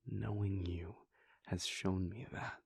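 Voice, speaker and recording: A man voicing a weary, devoted male vampire prince in a soft, intimate whisper, speaking in slow, halting, heartfelt confessions. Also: low-pitched, fairly even intonation, vocal fry, breathy edge, no room sound, exaggerated voice. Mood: tender, hushed. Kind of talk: whispered audio roleplay.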